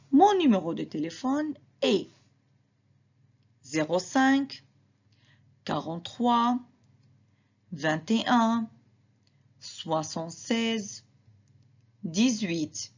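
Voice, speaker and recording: A woman teacher slowly explaining and repeating examples to her students, talking to them directly. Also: medium pitch, big pitch swings, slightly rough, dull audio. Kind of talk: slow classroom explanation.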